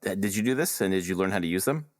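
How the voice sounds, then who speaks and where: A man's voice: sits low, expressive, racing. A man co-hosting a podcast in casual gaming chat, joking and informal, with some swearing. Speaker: podcast co-host.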